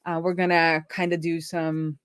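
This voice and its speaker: A woman's voice: low-pitched, flat delivery, normal speed, clean tone, no echo. Casual woman streamer, dry close sound. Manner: informal, thinking aloud. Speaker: live stream host, web developer. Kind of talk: casual live coding stream chat.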